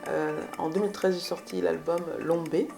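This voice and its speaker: A woman speaks in a deep register with a gravelly, creaky edge. She answers interview questions as a musician, hesitating and pausing often in the middle of her phrases. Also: fairly even intonation, over music. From Belgium. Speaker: musician, singer and guitarist. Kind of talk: interview answers about personal career.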